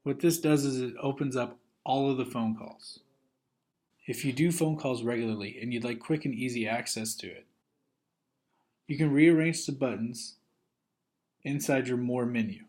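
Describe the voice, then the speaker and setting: A man giving step-by-step instructional narration as a software trainer, in a methodical way. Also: mid-range voice, normal speed, long pauses.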